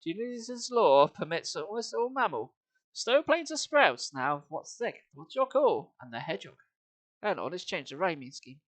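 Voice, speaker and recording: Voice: mid-range voice, sing-song, moderate pace, no room sound. A streamer reading comic verse live in an amused, lilting singsong, with chatty asides.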